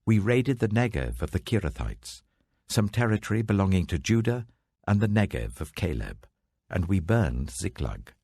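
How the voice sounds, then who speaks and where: Man acting a weary, husky slave. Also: low voice, very animated, normal speed, warm tone, dry recording, clean studio sound, pitched above the performer's own voice, heavily acted. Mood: weary confession. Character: abandoned young Egyptian slave, weary and wary.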